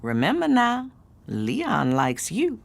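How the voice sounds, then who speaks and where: An actress playing a protective, bossy middle-aged American aunt who works as a supervisor, in a naturalistic audio drama, coaching and cajoling. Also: female voice, mid-range voice, wildly swooping pitch, takes it slowly, gravelly, heavily acted. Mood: instructing.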